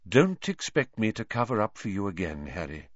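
A man reading, voicing a calm, gentle male professor who speaks mildly and evenly. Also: on the low side, no echo, muffled recording. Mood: stern quiet. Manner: mild, measured, soft-spoken.

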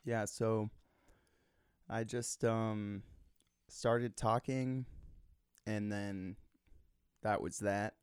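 A man who is a guest musician, talking casually with a deadpan, joking manner. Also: fairly low pitch, very slow, long pauses, smooth, dry recording, studio quality. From Nebraska, US. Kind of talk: casual interview.

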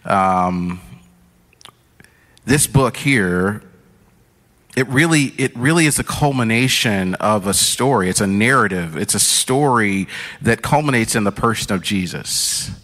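A man's voice: lowish voice, animated, unhurried, fairly bright tone, big-room sound. A man giving a sermon from a church stage, conversational, with many fillers and restarts. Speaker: pastor.

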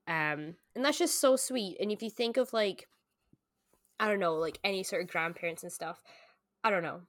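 A woman's voice: medium pitch, normal speed, fairly bright tone, dry recording, studio quality. A woman in her late twenties co-hosting a podcast, chatting casually and informally about books, peppering her talk with "like". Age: late 20s. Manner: informal, frequent "like" as filler, mild swearing. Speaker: podcast co-host. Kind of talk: casual book-club chat.

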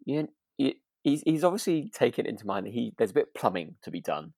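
A man from Yorkshire co-hosting a relaxed chat podcast in a casual, slightly hesitant, self-deprecating way. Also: medium pitch, fast talker, vocal fry, dry recording, studio quality. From Yorkshire, England. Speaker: podcast co-host. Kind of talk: relaxed casual chat about a radio drama.

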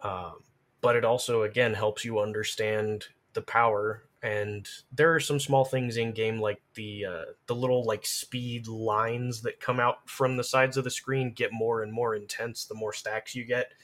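A man's voice: lowish voice, fairly even intonation, measured pace, a bit bright. A man, a streamer and podcast guest, casually explaining game tactics in an emphatic, encouraging way.

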